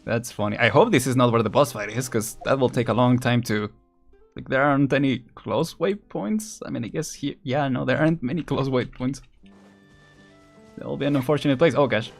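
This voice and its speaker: Streamer: young adult male, mid-pitched, casual gameplay chatter, musing and hesitant with light amusement, soft game music underneath. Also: a little fast, creaky. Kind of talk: casual live gameplay commentary.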